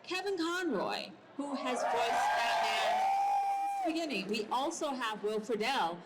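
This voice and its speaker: Animated woman moderating a live panel. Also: high-pitched, measured pace, a loud crowd, heavy background noise. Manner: enthusiastic, excited. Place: convention panel stage.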